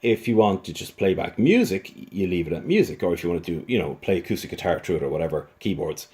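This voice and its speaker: A man talking through a casual, unscripted product review as a reviewer, in a hesitant, halting way with repeated words. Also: deep voice, animated, warm tone.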